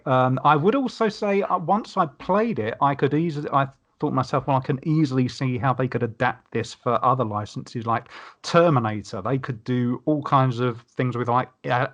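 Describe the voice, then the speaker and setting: A man's voice: mid-range voice, a bit bright. A man giving a casual, conversational review as a panelist on a podcast.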